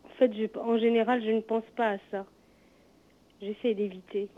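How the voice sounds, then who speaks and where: A woman in her fifties, a native Arabic speaker, talking hesitantly with many halting restarts as a caller. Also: a little high, little pitch movement. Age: 50s. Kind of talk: hesitant personal talk. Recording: tinny phone line.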